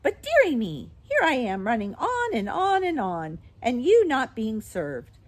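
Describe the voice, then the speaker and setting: A woman voicing a tiny, ancient, capricious shopkeeper woman in a children's storybook, with a shrill little voice and an exclamatory manner. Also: wildly swooping pitch, unhurried, exaggerated voice. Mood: chatty, gleeful. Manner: chattering, exclamatory, swinging from sweet to fierce.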